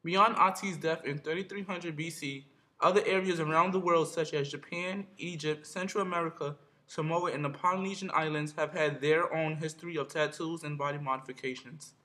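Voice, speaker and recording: A man reading a documentary narration in a steady, read-aloud way. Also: a little high, brisk.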